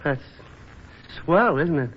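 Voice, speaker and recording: A man's voice: a little high, lively intonation, slow pace, husky, full character voice. An American actor playing a bashful, lovestruck young man in a 1940s radio drama, stammering and boyishly eager, on a muffled old recording. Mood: crestfallen, confessing.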